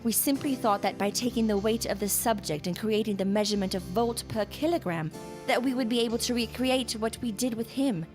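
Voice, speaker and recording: A woman's voice: on the high side, fairly quick, over music, lightly acted. An actress playing an earnest, exacting woman scientist in a gothic audio drama, speaking formally and precisely. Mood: intent, explaining. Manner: formal, precise, lecturing diction.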